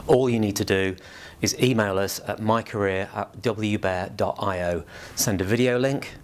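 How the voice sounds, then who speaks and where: A man speaking as a musician guest, making a promotional announcement in an interview. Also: on the low side. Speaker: musician guest, band member.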